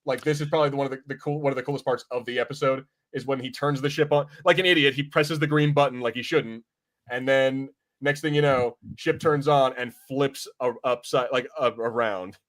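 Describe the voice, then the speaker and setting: A man's voice: mid-range voice, fairly even intonation, fairly quick. A man hosting a podcast, chatting casually in a rambling way and acting out imagined lines as he reviews. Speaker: podcast host.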